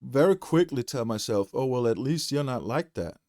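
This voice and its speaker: A man's voice: mid-range voice, expressive, normal speed, darkish tone, no echo, clean studio sound. A man hosting a podcast, chatting reflectively and casually with frequent fillers. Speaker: podcast host. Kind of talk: casual conversational podcast chat.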